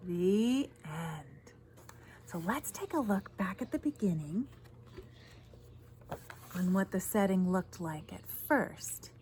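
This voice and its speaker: A woman's voice: mid-range voice, sing-song, unhurried, long pauses, darkish tone, slight background noise. A woman in her thirties reads a picture book aloud like a teacher, expressively, stressing key words and adding asides for young listeners. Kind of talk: children's read-aloud with commentary on the pictures. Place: outdoors in a garden.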